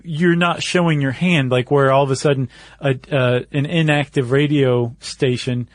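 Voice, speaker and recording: A man's voice: mid-range voice, steady tone, moderate pace, no echo. Male podcast co-host explaining in a dry, close recording. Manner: explanatory, reasoning step by step. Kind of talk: casual conversational explainer.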